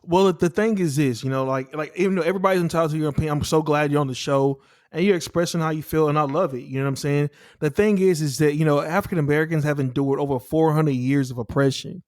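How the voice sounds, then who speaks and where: A man's voice: a little high, steady tone, quick pace, few pauses, darkish tone, dry recording, clean studio sound. A man hosting a casual interview, laid-back and leaning on fillers as he asks questions. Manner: laid-back, leans on "you know" and "like". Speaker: show host.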